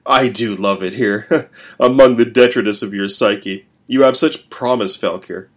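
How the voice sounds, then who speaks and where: A man voicing a sly, amused demonic stranger with a low, sonorous voice. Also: measured pace.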